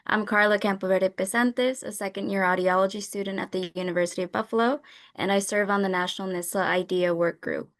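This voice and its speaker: A woman's voice: mid-range voice, steady tone, brisk. Upbeat young woman hosting a student event. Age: young adult. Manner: upbeat and friendly. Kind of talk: scripted event opening. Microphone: video call.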